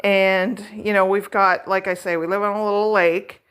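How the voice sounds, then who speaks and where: A woman's voice: medium pitch, flat delivery, moderate pace, a bit bright, clean tone. Chatty middle-aged woman vlogging at home. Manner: chatty, rambling, self-deprecating. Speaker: vlog host. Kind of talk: casual chat while decorating.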